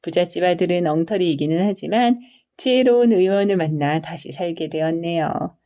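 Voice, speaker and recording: A woman narrating scripted bedtime folktales for children in an expressive storyteller's manner. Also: fairly low pitch, normal speed. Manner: storyteller's expressive, polite explaining delivery.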